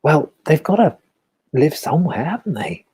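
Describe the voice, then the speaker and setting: A man's voice: on the high side, big pitch swings, normal speed, dark tone, husky, no room sound, pitched above the performer's own voice, full character voice. A man doing a patient British chief. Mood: apologetic. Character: apologetic, reasonable male village chief.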